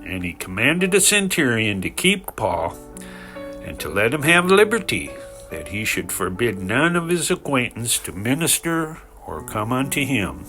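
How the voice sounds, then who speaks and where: A man giving a measured, expressive reading of scripture in a formal narrating style. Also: mid-range voice. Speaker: scripture reader. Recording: music behind the voice.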